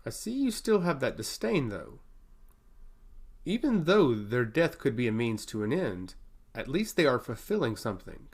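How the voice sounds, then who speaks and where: A man voicing a stern, domineering sea god in a fantasy audiobook, speaking in a stoic, deliberate and commanding manner. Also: mid-range voice, big pitch swings, husky. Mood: stoic reproach.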